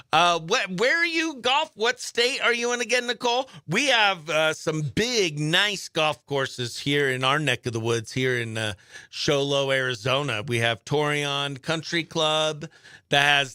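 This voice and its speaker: An American man hosting a morning radio show, chatting in an upbeat, effusive way with greetings and shout-outs. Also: a little high, clear, resonant tone, dry recording, studio quality. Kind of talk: chatty country radio show and livestream banter.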